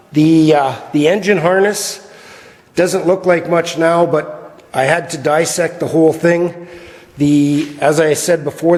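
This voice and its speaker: A slightly hoarse man casually talking through his work in a shop garage, with many fillers and some throat clearing. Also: on the high side. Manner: relaxed, rambling, many "uh" fillers. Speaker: garage builder showing his project. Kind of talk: casual workshop vlog. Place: large shop garage, indoors. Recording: reverberant garage with long echo.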